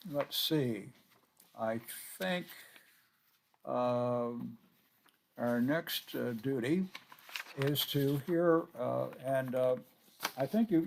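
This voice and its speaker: An older man chairing a board meeting on a video call, courteous and halting with many filler sounds. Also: mid-range voice, creaky, a little mellow, a little hiss or hum.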